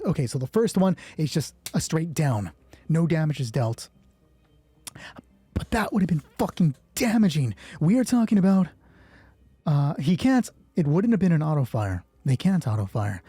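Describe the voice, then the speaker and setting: Husky, mellow man running a tabletop game. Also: fairly high pitch, no room sound. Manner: informal, swearing, thinking aloud. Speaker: solo roleplay game master and narrator. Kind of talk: narrating a tabletop game, dramatic storytelling mixed with casual rules talk.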